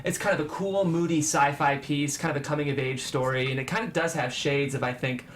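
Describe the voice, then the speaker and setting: A man with a fairly high voice hosts and narrates a screenplay reading. There is light room noise behind him as he presents the script. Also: fairly even intonation, brisk, a bit bright. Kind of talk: show presenting and scripted stage-direction narration.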